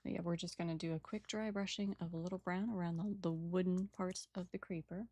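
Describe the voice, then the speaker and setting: A woman with a raspy morning voice chatting casually and rambling while she paints a model at her desk, on a new microphone without filters. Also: on the low side, steady tone, fairly quick, a little mellow, no room sound. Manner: rambling, apologetic, trails off mid-sentence. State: early-morning raspiness. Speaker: hobby painter and channel host. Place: home desk setup.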